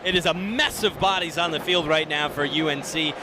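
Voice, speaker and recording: American male sports analyst, high-pitched, emphatic and opinionated, over clear stadium noise. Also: brisk. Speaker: color commentator. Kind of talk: live radio sports analysis. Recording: noisy live stadium broadcast.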